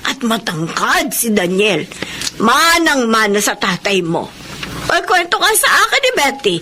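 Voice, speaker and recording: A Filipino woman acting a chatty, meddling elderly grandmother in a radio drama, blunt, expressive and full of exclamations. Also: on the high side, a little slow, slight background noise, heavily acted. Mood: gossipy, proud.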